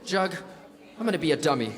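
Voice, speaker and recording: Man playing eager higher-pitched teenage boy, echoey hall. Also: fast talker. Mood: flustered. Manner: earnest, excitable, gee-whiz. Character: eager, flustered all-American teenage boy. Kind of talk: live classic radio drama acting.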